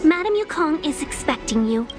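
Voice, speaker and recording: High, lilting young envoy. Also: female voice, moderate pace. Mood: welcoming. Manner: polite, expressive. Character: polite, bright-mannered young female envoy. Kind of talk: scripted video game voice acting. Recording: music under the voice. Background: music.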